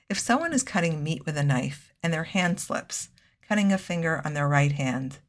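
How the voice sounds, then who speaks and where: A woman giving a measured, earnest scripted Torah lesson, weaving in Hebrew terms. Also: lowish voice. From United States. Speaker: Torah teacher.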